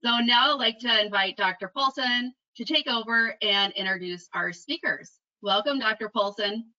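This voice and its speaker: A woman's voice: fairly high pitch, moderate pace, sharp tone. A woman introducing a guest speaker at a webinar in a polite, scripted way. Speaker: webinar host. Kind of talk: formal introduction. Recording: distant muffled call audio.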